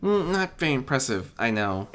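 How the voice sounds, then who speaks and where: A man voicing an insecure, hot-tempered young dragon in an audiobook story, with a high, strained voice and plaintive outbursts. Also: big pitch swings, normal speed, fairly bright tone. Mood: unsure.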